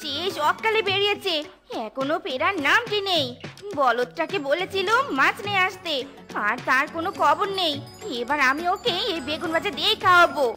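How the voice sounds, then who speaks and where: A woman voicing a sharp-tongued, scolding fox wife in a comic cartoon, shrill and exclamatory. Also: animated, moderate pace. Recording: music behind the voice.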